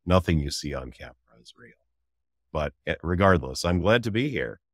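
A man's voice: deep voice, lively intonation, brisk, no room sound, clean studio sound. A middle-aged man, a guest author telling his story casually in an interview, with a wry, joking manner.

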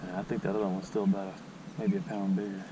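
A man's voice: low-pitched, level tone, quick pace. A man chatting casually while fishing, in a colloquial, folksy way, half muttering to himself and to the fish. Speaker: angler filming a fishing outing. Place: outdoors on a grassy pond bank. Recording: noisy outdoor action camera with insect buzz.

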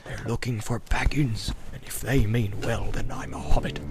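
A man's voice: on the low side, fairly even intonation, normal speed, creaky, noisy background. A man voicing a good-hearted, flustered rustic innkeeper in rambling, stammering, folksy speech for an audiobook. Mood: hushed, fearful. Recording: music behind the voice.

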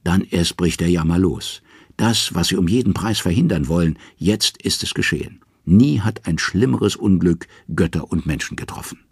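Deep, gravelly male storyteller. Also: normal speed, dry recording, clean studio sound. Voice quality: gravelly, husky. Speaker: audio story narrator. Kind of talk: dramatic scripted storytelling of myths.

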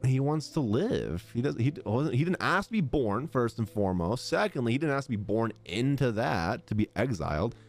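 Man, thirties, gaming streamer, slangy reaction commentary, very expressive pitch, close mic, soft game music behind. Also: moderate pace, hardly any pauses. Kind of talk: casual live reaction commentary. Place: small indoor gaming room. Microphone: close desk microphone, headset on.

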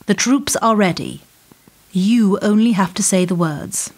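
A woman acting in a radio play as a cold, menacing power broker, formal and measured in her threats. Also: mid-range voice, darkish tone, lightly acted. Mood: cool menace.

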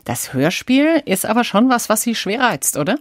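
A woman's voice: a little high, sing-song, normal speed, no echo. A woman hosting a conversational radio interview, recorded close in a studio. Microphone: close studio microphone.

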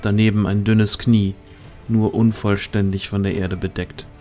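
A man narrating a fantasy audio drama as scripted storytelling, in a deliberate, suspenseful manner. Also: lowish voice, level tone, darkish tone, soft background music.

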